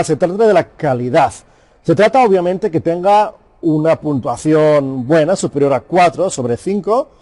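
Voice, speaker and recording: A man explains a lesson to small business owners, urging them on directly and addressing them formally. His voice sits a little high, with a soft, mellow tone. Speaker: course presenter.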